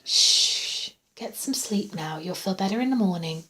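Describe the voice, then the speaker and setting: A woman's voice: medium pitch, animated, unhurried, bright, forceful tone, poor audio. A woman portrays a sympathetic hospital nurse in a storybook narration. She sounds soothing and lilting, reassuring with a teasing touch. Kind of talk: audiobook character.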